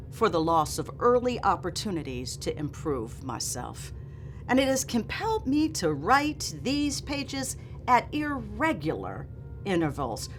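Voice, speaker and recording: A woman reading as an earnest, dignified nineteenth-century memoirist who was once enslaved, in a formal, solemn and emphatic manner. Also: lowish voice. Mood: measured, humble. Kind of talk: dramatic reading of a memoir preface. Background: music.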